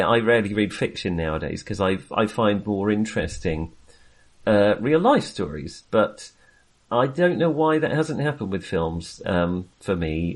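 A man co-hosting a film podcast, talking casually in a halting, rambling, self-deprecating way. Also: fairly low pitch.

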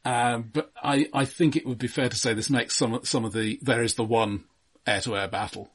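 A man's voice: mid-range voice, fairly even intonation, normal speed, slightly rough. A man co-hosting a film podcast, chatting casually in a hesitant, pedantic, detail-minded way. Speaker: film discussion podcast co-host.

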